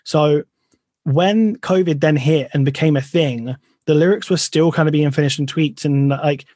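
Earnest man with a bright voice, dry sound. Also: fairly high pitch, moderate pace, no room sound. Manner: loose, filler-heavy, earnest. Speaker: pop punk band member. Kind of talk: casual interview answers.

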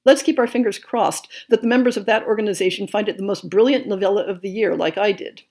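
A woman hosting a podcast, reading a scripted monthly update in a measured way. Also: mid-range voice, darkish tone. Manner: measured, reads lists of titles and blurbs. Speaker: podcast host.

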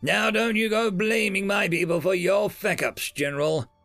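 A man's voice: extremely high pitch, very animated, slow, sharp tone, soft background music, pitched above the performer's own voice, heavily acted. A man doing a huffy indignant attaché. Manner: huffy, defensive outbursts. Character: touchy indignant male diplomatic attaché.

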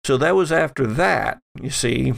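A man's voice: medium pitch, animated, vocal fry, full character voice. A man voicing a polite, folksy doppelganger in a tabletop game, rambling and hesitant as he goes. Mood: hesitant, explaining. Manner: halting, rambling, folksy, apologetic. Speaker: game master.

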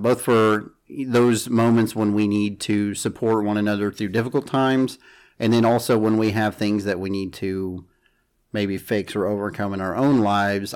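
A man in his thirties, a podcast guest, speaking thoughtfully and haltingly. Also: fairly low pitch. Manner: thoughtful, halting with fillers and restarts. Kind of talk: conversational podcast talk.

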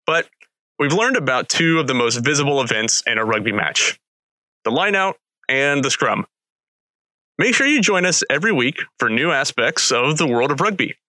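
A man's voice: medium pitch, sharp tone, no room sound. A man hosting a casual sports podcast, explaining rules like a teacher and chatting with a guest. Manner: friendly, teacherly, with fillers. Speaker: podcast host. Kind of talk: casual podcast interview and rugby lesson.

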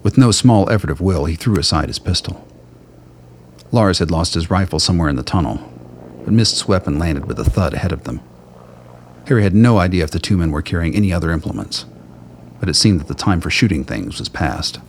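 A man with a deep, low voice narrates a scripted radio play in dramatic prose. Ambient effects and some noise sit behind his voice. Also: lively intonation, a little fast.

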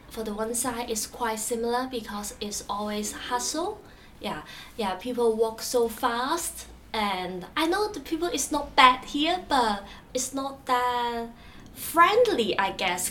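Hong Kong-accented woman, hesitant and soft. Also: fairly high pitch. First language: Cantonese. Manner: hesitant, frequent uh fillers and restarts. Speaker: interviewee. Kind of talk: casual interview answers. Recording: distant mic with faint background noise.

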